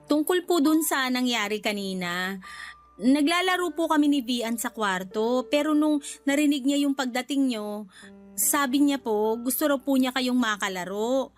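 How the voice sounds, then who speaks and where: A woman playing a gentle, deferential nanny in a radio drama, speaking politely and hesitantly, with pleading care. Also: high voice, normal speed, lightly acted. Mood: polite, careful. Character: gentle, deferential nanny, a caring mother herself. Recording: music bed behind the voice.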